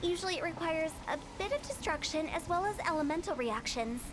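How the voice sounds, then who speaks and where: Cheeky young funeral parlor girl in a game, super high voice, teasing and singsongy. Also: expressive, fairly quick, background noise. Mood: instructive. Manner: teasing, sing-song. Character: playful mischievous young woman funeral parlor director. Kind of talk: game voice acting. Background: faint game music.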